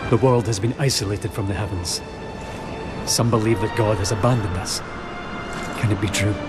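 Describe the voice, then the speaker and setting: A man plays a solemn knight of a holy order in a dark game drama. His voice is low and earnest, heavy with grief for his wife. Very loud music plays behind him. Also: steady tone, moderate pace, natural voice. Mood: tender, solemn. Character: grieving warrior knight of a holy brotherhood, devoted husband. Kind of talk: dramatic cinematic game acting.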